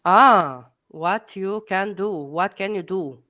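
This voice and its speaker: A woman's voice: medium pitch, slow pace, pushed and bright. A middle-aged woman from Romania with a Romanian accent, speaking English as a second language on a video call, hesitant with many fillers and encouraging. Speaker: guest educator. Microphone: headset.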